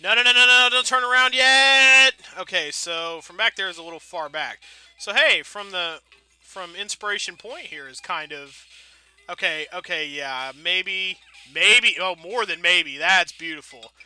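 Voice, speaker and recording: Bright, high-voiced man doing game commentary. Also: animated, measured pace, clear, resonant tone, dry recording, rough recording. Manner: rambling, playful, folksy mild exclamations. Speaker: gameplay video host.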